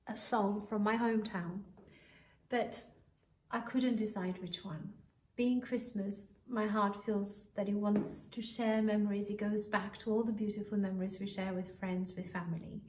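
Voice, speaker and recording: A woman's voice: mid-range voice, fairly even intonation, measured pace, a little mellow. Echoey, distant Albanian-accented woman. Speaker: musician introducing a performance. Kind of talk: informal spoken introduction to camera. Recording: roomy echo, distant mic.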